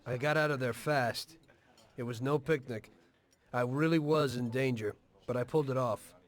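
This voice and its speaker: Game role: American ex-gangster played by a man, middle-aged, mid-range pitch, plain-spoken, understated and weary. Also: moderate pace, natural voice. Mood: frank, guarded. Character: weary, matter-of-fact ex-mobster telling his life story. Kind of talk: dramatic video game dialogue and narration.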